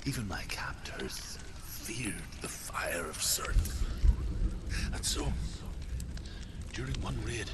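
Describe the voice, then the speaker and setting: An actor playing a weary, wise, haunted older storyteller in a game, speaking solemnly and breathily, often dropping to a whisper. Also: male voice, fairly low pitch, very animated, heavy background noise. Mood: reflective, haunted. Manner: slow, solemn, measured counsel. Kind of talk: dramatic game cutscene monologue.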